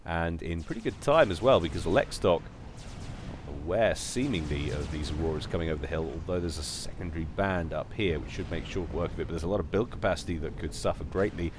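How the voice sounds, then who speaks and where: A man's voice: sits low, fairly quick, noisy background. A man casting a strategy game in chatty play-by-play, slightly nasal from a cold. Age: adult. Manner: lively, chatty play-by-play with wry asides. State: has a cold. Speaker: game caster. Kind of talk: live strategy game commentary.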